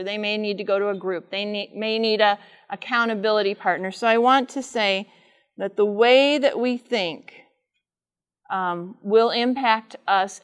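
A middle-aged woman with a loud voice speaks live to a conference audience, teaching and asking them frequent questions. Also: medium pitch. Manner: says she has a loud voice. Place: large conference room with air conditioner.

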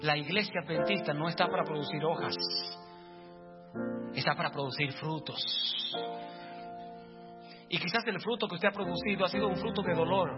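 High-voiced man preaching fervently. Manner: fervent preaching, rising to shouts. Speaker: pastor. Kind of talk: sermon. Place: church. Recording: long church reverb, dull lo-fi, faint music.

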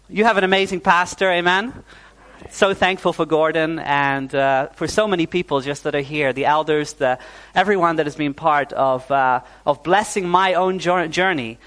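Young man from New Zealand, fairly high voice, a pastor in mid-sermon with a warm, chatty delivery full of "uh"s. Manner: warm, fillers like "uh", calls for "amen". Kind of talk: sermon to a congregation.

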